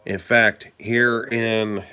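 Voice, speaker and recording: A man hosting a live stream, hoarse and stuffed up from a cold, talking casually in a rambling way and greeting viewers by name. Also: on the low side, flat delivery, very slow, pushed and bright. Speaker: livestream host.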